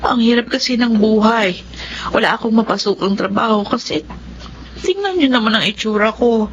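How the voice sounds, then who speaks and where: A woman's voice: a little high, moderate pace, highs cut off, a little hiss or hum, close to an everyday voice. An actress playing a poor, loving mother of two in a Filipino audio drama, speaking in a tender, plaintive, reassuring way with a Filipino accent. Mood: sorrowful, apologetic. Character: poor, struggling, self-sacrificing loving mother of two children.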